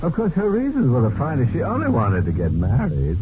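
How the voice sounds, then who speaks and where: An actor playing a macabre horror-show host, sardonic and archly mock-sympathetic, in 1940s radio style. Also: male voice, medium pitch, huge pitch swings. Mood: gleefully ghoulish. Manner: slow, arch, mock-sympathetic, savoring. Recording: muffled old radio recording, music behind.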